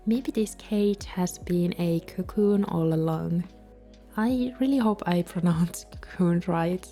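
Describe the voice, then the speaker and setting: A woman with a Finnish accent, a native Finnish speaker, calmly narrating a voice-over for a journaling video in a gentle, soft-spoken way. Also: lowish voice, takes it slowly, soft background music. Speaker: bullet journal vlogger.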